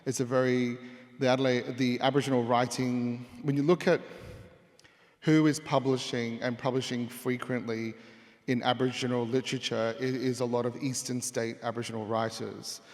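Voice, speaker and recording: Loud-voiced man in a hall. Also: mid-range voice, level tone, moderate pace. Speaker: panelist. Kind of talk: panel discussion. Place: large hall with audience. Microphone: handheld mic. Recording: hall reverb.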